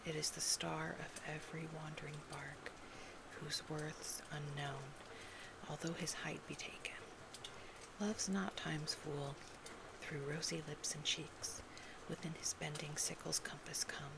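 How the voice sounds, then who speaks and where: A woman performing whispered ASMR roleplay as a poetry reader, breathy and gentle, in a deliberate manner. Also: low-pitched, steady tone, very noisy. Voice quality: breathy whisper with creaky edges.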